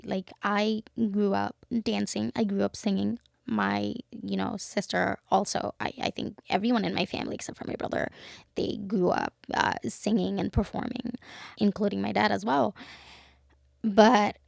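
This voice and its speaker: A woman's voice: medium pitch, gravelly, dry recording, clean studio sound. A young woman from Bangladesh, co-hosting a casual podcast and talking reflectively with lots of "you know". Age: young adult. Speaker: podcast co-host. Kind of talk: casual chat.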